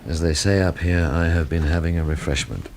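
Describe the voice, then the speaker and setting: A man's voice: low-pitched, steady tone, brisk, a little mellow. An actor playing a wry, brooding, hard-drinking man who narrates and talks with dry, literate sarcasm in a radio drama. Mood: tipsy, sardonic. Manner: dry, sardonic, literate phrasing. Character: world-weary, wry hard-drinking male storyteller, brooding and sardonic.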